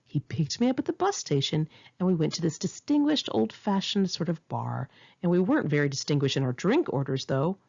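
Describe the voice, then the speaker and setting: A woman's voice: low-pitched, very animated, brisk, creaky, darkish tone, slightly rough, highs cut off. A woman narrating her own first-person memoir like an audiobook, with dramatic, sarcastic and indignant storytelling. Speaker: author reading own memoir aloud.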